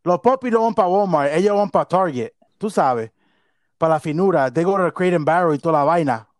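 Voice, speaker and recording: A man's voice: fairly high pitch, lively intonation, fast, dry recording, studio quality. A man co-hosting a casual podcast, joking and rambling as he switches between English and Spanish. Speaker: podcast co-host. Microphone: not his regular mic.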